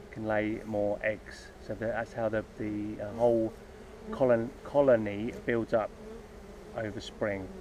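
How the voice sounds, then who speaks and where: A man's voice: mid-range voice, darkish tone, a little hiss or hum. A man casually talking outdoors by his beehives, rambling with lots of ums and restarts as he explains his plans. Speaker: hobby beekeeper making videos. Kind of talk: casual unscripted vlog talk. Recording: dull lo-fi outdoor sound, faint insects buzzing.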